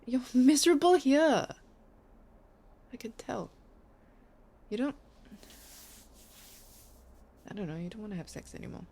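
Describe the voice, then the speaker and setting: A hurt, anxious woman clings to her partner in a naturalistic audio drama. Her speech is conversational and halting, always gently probing for an answer. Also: on the high side, very animated, gravelly, breathy edge, full character voice.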